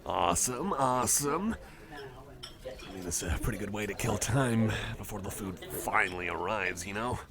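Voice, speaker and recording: Low-voiced rambling man over cafe chatter. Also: animated, moderate pace. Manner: hesitant, meandering, geeky enthusiasm for details. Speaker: ASMR roleplay performer. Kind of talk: rambling casual chat to the listener. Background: cafe crowd ambience.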